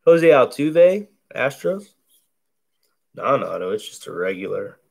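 A man with a deep, bassy voice calmly calls out each baseball card he opens at a table indoors, in a relaxed running commentary. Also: creaky. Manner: slow, laid-back play-by-play of each card. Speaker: card break host. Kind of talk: casual card-opening commentary. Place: indoors at a table covered in cards.

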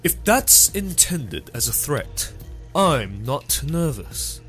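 A man's voice: on the high side, very animated, slow, a bit bright, soft background music, exaggerated voice. A man voicing a skeptical, unflappable animal in a cartoon fandub, with a dry, challenging way of talking. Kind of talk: dramatic comic fandub dialogue.

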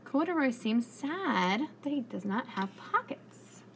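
Female preschool teacher, read-aloud with questions: encouraging, higher voice, very expressive, some room noise. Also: slow. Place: indoors, school classroom.

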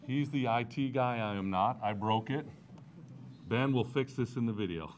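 A man's voice: medium pitch, moderate pace, slight background noise. A man acting as host, informally introducing a speaker to an audience in a large auditorium.

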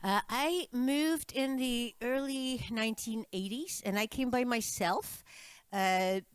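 A woman's voice: fairly high pitch, takes it slowly, clean tone, dry recording. A woman in her sixties with a Czech accent, telling family stories over a video call, full of fillers and restarts. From Czech Republic. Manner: chatty storyteller, many fillers and restarts. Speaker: guest musician. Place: home room with piano, indoors. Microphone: studio mic on stand, video call.

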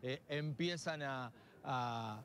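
A middle-aged Argentine man working as a TV field reporter, chatting in a halting, casual way and often repeating himself. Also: mid-range voice, fairly bright tone. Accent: Argentine, Buenos Aires area. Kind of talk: live outdoor broadcast, casual street interviews. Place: outdoor fairground in open air. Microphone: handheld reporter microphone. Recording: noisy outdoor live TV feed.

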